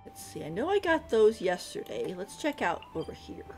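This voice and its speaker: A woman's voice: mid-range voice, big pitch swings, normal speed. A woman giving casual live commentary as she plays a game, giggly and thinking aloud. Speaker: game streamer. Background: game music.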